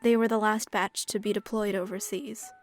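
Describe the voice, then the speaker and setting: A woman playing a gentle, resigned widowed mother and caregiver in a game dub, speaking in a subdued, reflective way. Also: medium pitch, little pitch movement, normal speed, soft background music, close to an everyday voice. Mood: wistful.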